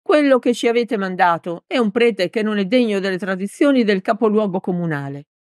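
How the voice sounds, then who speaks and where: A woman's voice: medium pitch, expressive, brisk, a little mellow, no echo, studio quality, voice pushed up, lightly acted. A woman voicing a big, hot-tempered male village mayor in a storybook, blustering and clumsily formal with emphatic delivery. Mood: awkward, deferential.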